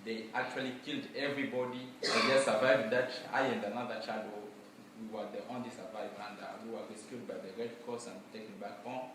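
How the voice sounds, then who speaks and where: A young man from Congo whose first language is Mashi, speaking earnestly with frequent pauses about his life and schooling. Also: lowish voice, fairly even intonation, noisy background. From Democratic Republic of Congo. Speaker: student presenting his project. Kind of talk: personal testimony and Q&A. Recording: far-off mic in echoey room.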